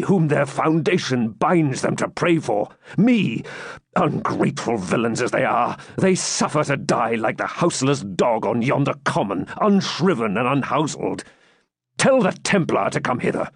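A man's voice: medium pitch, big pitch swings, unhurried, gravelly, husky, dry recording, clean studio sound, voice pushed up, heavily acted. A man voicing a fierce, stubborn dying baron who growls out defiant speeches in an audiobook. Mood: scornful rage.

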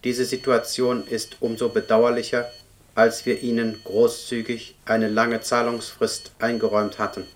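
A man's voice: medium pitch, flat delivery, unhurried, lightly acted. Man acting a stiffly impersonal book club computer. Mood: impersonal, official. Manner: impersonal, formal, stiffly recited. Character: male book club computer reading out form demands. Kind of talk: scripted radio play, formulaic official notices. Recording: noisy, with background ambience.

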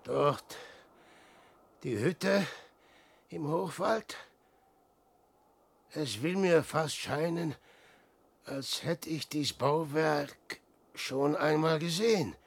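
An actor playing a lone male wanderer coming upon an old hut, speaking verse slowly and haltingly in a radio play. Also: fairly high pitch, creaky, husky, full character voice. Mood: wondering. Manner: slow, halting verse delivery.

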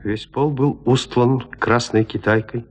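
Composed male narrator, medium pitch. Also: fairly even intonation. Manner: measured, composed storytelling. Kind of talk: scripted literary narration in an audio drama.

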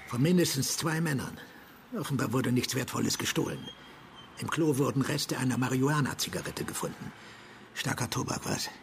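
An actor playing a gruff, blunt police chief in a German radio crime drama, giving clipped, bossy orders. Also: male voice, medium pitch, moderate pace, close to an everyday voice. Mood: urgent, grumbling. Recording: noisy room ambience behind.

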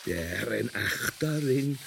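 Clear mechanical noise surrounds a brooding, gravelly announcer of an infernal radio station. He speaks very slowly in broken fragments, switching between German and English as in an experimental radio play. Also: mid-range voice, expressive. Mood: incantatory, intense. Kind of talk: experimental radio drama monologue.

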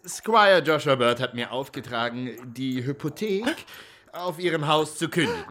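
An actor playing a rich lawyer in a radio drama, unctuously polite and menacing, with formal, drawn-out phrasing. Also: male voice, on the high side, animated, fairly bright tone. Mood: stern.

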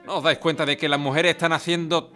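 A man plays an earnest, fair-minded working father in a radio play. His voice is bright and a little high, over faint music. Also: level tone, fast. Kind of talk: audio drama acting.

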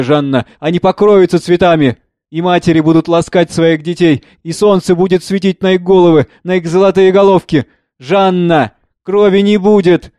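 A man's voice: high voice, a little mellow, no echo, voice pushed up, close to an everyday voice. A man playing an impassioned, solemn father in a stage drama, declaiming with emphatic repetition. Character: impassioned father, consoling visionary, solemn and fervent.

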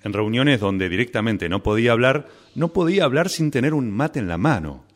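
Radio drama: male actor as obsessive, suffering Argentine everyman, melodramatic and stammering, very animated delivery, mid-range pitch. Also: a little fast, lightly acted. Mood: rueful storytelling. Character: anguished, obsessive man deprived of his beloved mate. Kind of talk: radio drama acting with first-person narration.

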